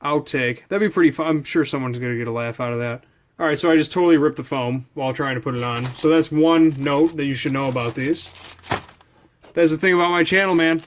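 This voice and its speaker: Rambling man with a slightly higher voice. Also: fairly quick. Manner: rambling, informal, thinking aloud while handling items. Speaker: product reviewer hosting a video channel. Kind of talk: casual unscripted unboxing chat.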